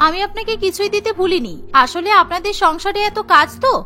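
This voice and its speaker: A woman's voice: very high-pitched, brisk, pushed and bright, soft background music. A woman playing an overworked, put-upon young daughter-in-law in a cartoon, explaining and complaining wearily. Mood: apologetic, dutiful. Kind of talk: cartoon voice acting.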